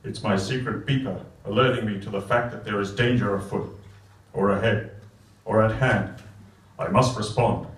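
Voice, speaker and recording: A man playing a self-important superhero in a live comedy radio play, dramatic and deadpan. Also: on the low side, moderate pace, lightly acted. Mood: earnest. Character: self-important, earnest male superhero taking a secret call. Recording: echoey, distant stage recording.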